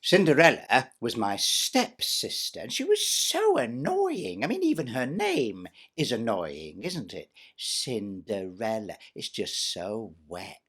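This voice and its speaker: A man's voice: medium pitch, huge pitch swings, slow, few pauses, fairly bright tone, breathy edge, exaggerated voice. A man voicing a vain, bossy, spiteful stepsister in a chatty, self-satisfied comic pantomime monologue. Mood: disdainful, smug.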